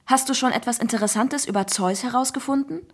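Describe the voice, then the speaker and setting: Lady putting on a chirpy excited schoolboy, chatty, pitched up a bit, kids story. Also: quick pace, husky. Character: chatty, enthusiastic schoolboy friend. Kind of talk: children's audiobook character voice.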